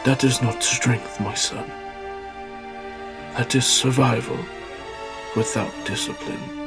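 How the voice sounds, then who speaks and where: Solemn, slow-spoken warrior father played by a man. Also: medium pitch, fairly even intonation, over music. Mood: solemn, admonishing. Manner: solemn, measured, sage-like delivery. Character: stern old Japanese warrior father and martial arts master. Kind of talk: audio drama acting.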